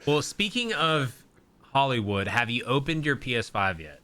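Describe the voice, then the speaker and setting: A young man hosting a podcast, chatting excitably in a rambling way with many restarts. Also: mid-range voice, moderate pace. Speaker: podcast host. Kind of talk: casual chat.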